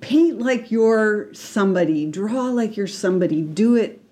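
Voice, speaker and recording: A woman's voice: medium pitch, animated, measured pace, a little mellow. A middle-aged woman from Canada, a guest artist in a casual interview, talking hesitantly with many restarts and fillers. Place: radio studio.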